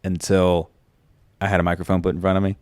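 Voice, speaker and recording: A man hosts a casual podcast chat, rattling through stories at a quick clip. His voice sits a bit low. The sound is close and dry, with no room around it. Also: no echo. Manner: animated storytelling with fillers. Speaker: podcast host.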